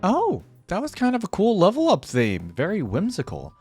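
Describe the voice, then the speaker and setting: A man's voice: sits high, huge pitch swings, moderate pace, music in the background. A man hosting a reaction video, giving casual off-the-cuff commentary.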